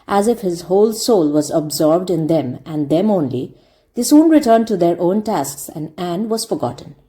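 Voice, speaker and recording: Mellow, animated woman narrator. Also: lowish voice. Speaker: storyteller, narrator. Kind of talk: expressive audiobook read-aloud narration.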